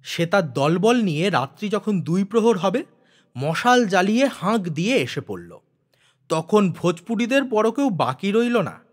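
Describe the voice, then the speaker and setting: A man narrating a literary audio story with expressive, deliberate, dramatic phrasing. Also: high voice.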